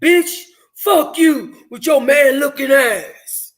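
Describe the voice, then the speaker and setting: A woman's voice: high-pitched, slow pace, pitched above the performer's own voice. A woman voicing a crude, leering, drunken man in a club, reading from a novel in a confrontational manner. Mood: angry, crude.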